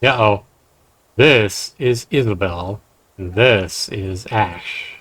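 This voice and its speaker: A man's voice: lowish voice, drawn-out words, fairly bright tone, breathy edge, no echo. A man hosting a game livestream, reading narration aloud and dropping in casual comments. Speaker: livestream host.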